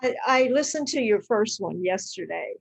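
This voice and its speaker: An older woman, a retired teacher, talking casually and haltingly, pausing often between short phrases. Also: fairly high pitch, expressive, creaky, husky. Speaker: retired high school teacher, show participant. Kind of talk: casual chat.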